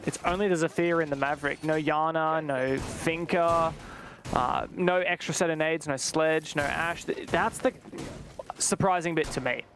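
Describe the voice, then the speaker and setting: A man working as a play-by-play caster, commentating live on an esports match with fluent analysis that can rise into excited calls. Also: a little high, normal speed, background noise.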